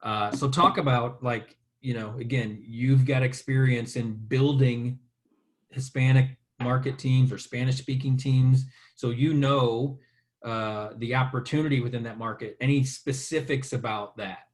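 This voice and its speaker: A man hosts an interview over a video call. His voice is mid-pitched and relaxed. He asks questions casually, with fillers. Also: unhurried. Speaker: host.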